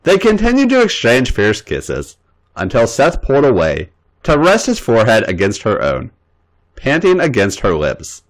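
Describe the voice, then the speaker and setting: A man hosting a podcast, giving a dramatic, expressive reading of an erotic fan fiction story. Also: mid-range voice, moderate pace, low-quality recording.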